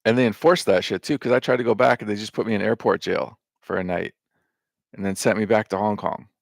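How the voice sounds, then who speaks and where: A man with a fairly low voice and a lot of gravel and vocal fry talks through a casual, rambling monologue. He wanders between thoughts with fillers and restarts and swears without a second thought. The sound is dry and close, with no room around it. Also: brisk, no echo. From United States. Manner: loose and meandering, with lots of fillers, restarts and swearing. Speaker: vlogger.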